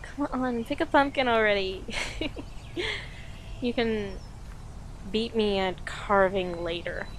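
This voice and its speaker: A woman playing an affectionate, playful girlfriend in an audio roleplay, talking softly and giggly to the listener with lingering pauses. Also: medium pitch, slight background noise, lightly acted. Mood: teasing. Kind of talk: audio roleplay, intimate slow-paced monologue to listener.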